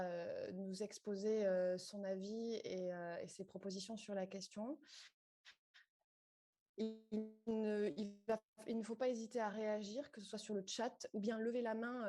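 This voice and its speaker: A woman moderates a video call in an informal, encouraging way. Her voice is warm and mellow, and she hesitates now and then with fillers. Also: medium pitch. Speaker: candidate and moderator. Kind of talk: introducing a speaker on a video call.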